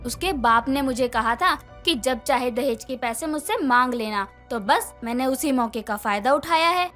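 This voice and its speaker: A woman's voice: high voice, animated, normal speed, fairly bright tone, soft background music. A woman voicing a greedy, scheming middle-aged mother-in-law in a cartoon, talking in a bossy, scolding way. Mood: scornful. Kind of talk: cartoon voice acting.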